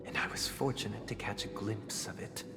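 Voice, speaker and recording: Guy voicing a low, grave noble family head, slow formal telling, music under it, reverby. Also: steady tone, natural voice. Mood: solemn. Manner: measured, formal storytelling, soft hushed phrases. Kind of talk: game dialogue voice acting.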